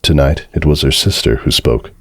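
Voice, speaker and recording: A man's voice: extremely low pitch, normal speed, a bit bright, no room sound, clean studio sound. A man giving a dramatic, scripted narration of a story as its narrator.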